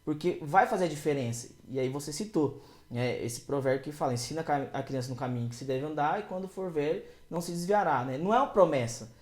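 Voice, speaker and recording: A man hosts a church podcast as its pastor, his voice pitched a little high for a man. He asks long, winding questions in an earnest way. The room around him adds a noticeable echo. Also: fast. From Brazil. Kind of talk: casual podcast interview.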